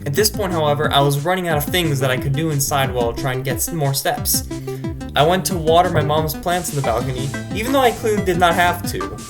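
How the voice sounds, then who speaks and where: A man's voice: on the high side, a little fast. A man narrating his vlog in scripted voice-over, wry and self-deprecating. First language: English. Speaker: vlogger narrator. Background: background music.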